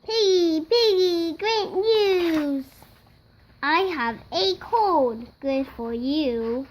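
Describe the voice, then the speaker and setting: A five-year-old child reads a picture book aloud, acting out the parts. The voice is high and the delivery is very slow and halting, word by word. There is some noise behind the recording. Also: lively intonation. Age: child, five years old. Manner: slow, halting word-by-word reading with acted-out sneezes.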